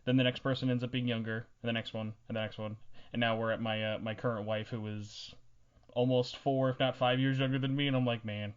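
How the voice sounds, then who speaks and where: A man's voice: medium pitch, little pitch movement, fairly quick, clear, resonant tone. Joking young male podcaster, slightly muffled sound. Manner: joking, teasing. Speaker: podcast host. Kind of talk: casual podcast chat.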